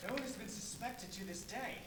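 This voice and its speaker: Une femme jouant un villageois sévère, voix lointaine. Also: female voice, on the high side, brisk, natural voice. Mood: concerned, insistent. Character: stern, concerned villager urging action. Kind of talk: live radio play acting. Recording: echoey, distant, faintly noisy live stage.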